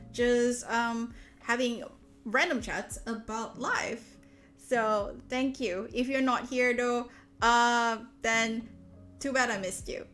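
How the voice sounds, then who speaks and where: Chatty Malaysian woman streamer, slightly high. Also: a bit bright. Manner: rambling, many fillers and restarts. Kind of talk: casual chat.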